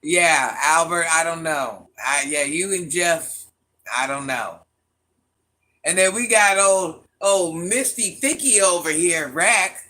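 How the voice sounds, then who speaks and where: Woman livestream host, sharp bright voice, teasing and chatty with her chat. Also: lowish voice, animated, slow. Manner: teasing, talks straight to viewers by name, laughs often; calls herself Granny. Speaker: livestream host reading viewer comments.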